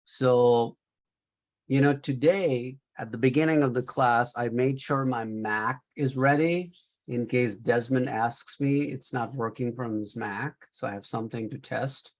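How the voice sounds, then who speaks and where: A man's voice: medium pitch, measured pace. A man with a Pakistani accent, a professor on a video call, patiently talking a student through tech trouble step by step. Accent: Pakistani English. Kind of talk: online class talk.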